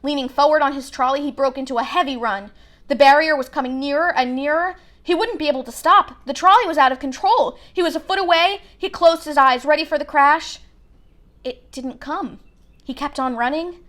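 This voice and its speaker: A woman narrating a children's novel aloud in an expressive storybook style. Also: high voice.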